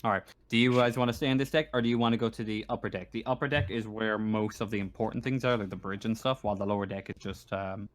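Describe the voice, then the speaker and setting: A man speaking casually as a game master, narrating a tabletop game in a halting, hesitant way. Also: lowish voice, fairly even intonation.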